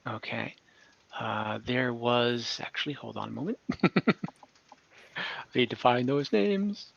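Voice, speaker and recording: A game master improvising tabletop game lore, speaking haltingly and searching for words. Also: mid-range voice, creaky, muffled recording. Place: online group call.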